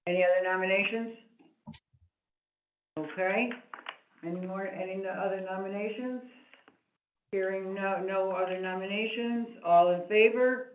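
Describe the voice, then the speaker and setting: A soft-spoken woman chairing a town committee meeting, hesitant and full of fillers, in a meeting room. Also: mid-range voice, fairly even intonation, normal speed, pauses often, slight background noise. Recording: echoey room over a tinny line.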